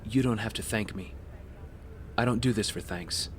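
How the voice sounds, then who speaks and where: A man's voice: on the low side, moderate pace, breathy edge. A young man playing a rich playboy with a secret heroic life in an audio drama. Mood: wry, casual.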